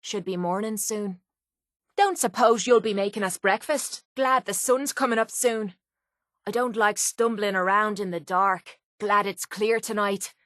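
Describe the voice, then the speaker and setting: A woman's voice: medium pitch, measured pace, a bit bright, dry recording, studio quality, lightly acted. A woman playing a tough, cocky young brawler in a video game, with an Irish accent and a blunt, sardonic manner. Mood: casual, wry. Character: tough, brash, foul-mouthed young woman brawler and mercenary. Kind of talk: video game companion dialogue.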